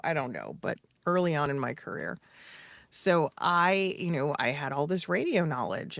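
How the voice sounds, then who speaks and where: A woman's voice: low-pitched, no room sound. A middle-aged woman talking candidly and emphatically as a coach in casual podcast conversation, repeating phrases for effect. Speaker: voice acting coach and demo producer.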